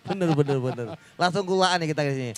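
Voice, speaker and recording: A man co-hosting a podcast, bantering quickly and echoing his partner's lines. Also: on the high side, a crowd in the background. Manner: quick, bantering, echoes the other host. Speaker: podcast co-host. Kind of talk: joking casual chat.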